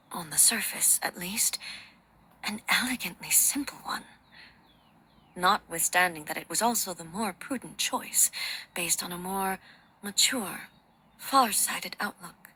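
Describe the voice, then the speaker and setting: An actress playing a solemn, ancient female shogun in a video game, with formal, measured and deliberate diction. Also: mid-range voice, sharp tone, husky, rough recording. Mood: composed, reflective. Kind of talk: video game character dialogue.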